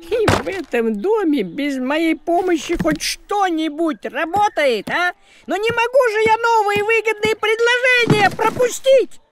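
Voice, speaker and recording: A man voicing a bossy, thrifty, excitable cartoon cat who scolds and boasts. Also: very high voice, unhurried, heavily acted. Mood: proud, excited. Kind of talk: animated series voice acting.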